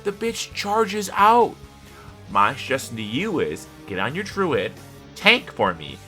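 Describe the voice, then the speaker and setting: A man's voice: medium pitch, huge pitch swings, takes it slowly, pushed and bright, soft background music. A man co-hosting a podcast in casual chat, with an emphatic, sweary manner. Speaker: podcast co-host.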